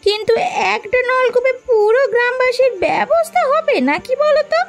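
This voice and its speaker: Very high-pitched, insistent village wife voiced by a woman. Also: lively intonation, moderate pace, loud music behind the voice. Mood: scheming, greedy. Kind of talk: Bengali cartoon folk-tale voice acting.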